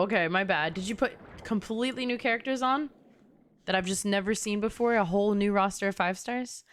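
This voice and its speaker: A woman's voice: mid-range voice, fairly bright tone, smooth, no echo. A young adult woman chatting casually with her viewers while livestreaming a game, bantering and teasing. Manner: bantering, teasing, talks to chat. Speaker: game streamer. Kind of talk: casual livestream chat and gameplay commentary.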